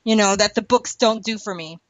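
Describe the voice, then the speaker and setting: A woman's voice: mid-range voice, a little fast, no room sound. A woman hosting a casual podcast discussion, chatty and giggly as she rambles through her opinions. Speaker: podcast host. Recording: dull lo-fi podcast audio.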